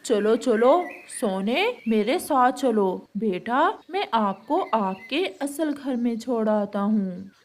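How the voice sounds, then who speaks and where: A woman's voice: a little high, lively intonation, slow pace, hardly any pauses, darkish tone, a little hiss or hum. A woman playing a stern, stubborn father bird with a firm, commanding manner in a cartoon story. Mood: cold resolve.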